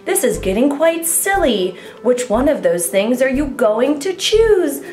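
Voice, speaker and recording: A woman voicing a prim older female schoolteacher in a storybook, patient but exasperated, with a sing-song, drawn-out delivery. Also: high voice, very animated, darkish tone. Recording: echoey room with music underneath.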